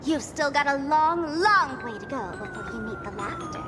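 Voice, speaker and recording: A woman's voice: high-pitched, wildly swooping pitch, normal speed, noisy background. An actress playing a mischievous, theatrical young trickster woman in a video game, teasing and showy. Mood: playful, smug. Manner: teasing, showy, singsong delivery. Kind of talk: video game character acting.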